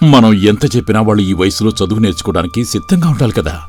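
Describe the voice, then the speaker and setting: A man playing an earnest, resolute young village idealist in a moral story, speaking deliberately and persuasively. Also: fairly low pitch, lively intonation, a little mellow, close to an everyday voice. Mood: thoughtful. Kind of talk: acted dialogue in a moral story. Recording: music bed under the voice.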